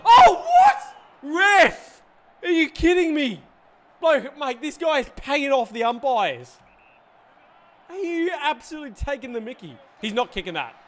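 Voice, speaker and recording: A young man with a hoarse voice, reacting excitedly and yelling while playing a football video game. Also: very high voice, unhurried. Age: young adult. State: hoarse, says he lost his voice. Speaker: gaming YouTuber. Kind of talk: reactive gameplay commentary. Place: indoor gaming room, seated in gaming chair. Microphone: headset, facecam.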